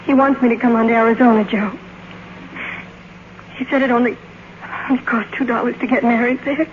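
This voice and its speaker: A woman's voice: fairly high pitch, steady tone, a little fast, a little hiss or hum, close to an everyday voice. An actress playing an earnest, lovestruck young woman, speaking tenderly and hesitantly in an old radio drama. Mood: tearful, tender. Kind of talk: 1940s-50s radio drama acting. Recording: muffled old radio broadcast.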